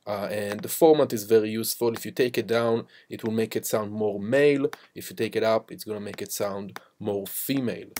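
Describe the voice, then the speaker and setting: A man teaching music production, walking through software steps in an informal, instructional way. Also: lowish voice, fairly even intonation, fairly quick, hardly any pauses. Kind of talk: step-by-step software tutorial. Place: voice-over to a screen recording.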